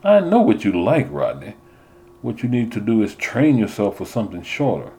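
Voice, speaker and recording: A man's voice: on the low side, wildly swooping pitch, normal speed, mellow, pitched above the performer's own voice. A man voicing a stern male track coach who gives firm, insistent instructions. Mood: instructive. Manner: commanding, insistent.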